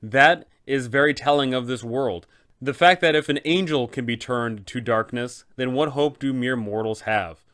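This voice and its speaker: A man's voice: mid-range voice, normal speed, fairly bright tone. A man hosting a game master's guide, explaining in an enthusiastic, conversational way. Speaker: dungeon master and channel host.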